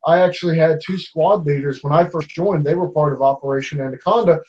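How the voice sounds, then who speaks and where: A man's voice: fairly high pitch, a little fast, darkish tone, highs cut off. A man in his twenties debating online in a casual way, with many restarts and fillers as he argues. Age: 20s.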